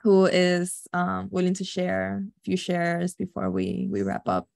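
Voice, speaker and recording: A young woman from New York leading a casual group discussion on a video call, relaxed and full of fillers. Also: on the low side, a little slow, creaky, no echo, studio quality. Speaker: workshop facilitator. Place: home room with a slanted ceiling. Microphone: laptop webcam on a video call.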